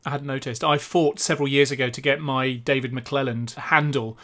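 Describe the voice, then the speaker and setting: Measured, articulate man chatting. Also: mid-range voice, fairly even intonation, fairly bright tone. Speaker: podcast co-host. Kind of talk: casual tech podcast chat. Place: small home office.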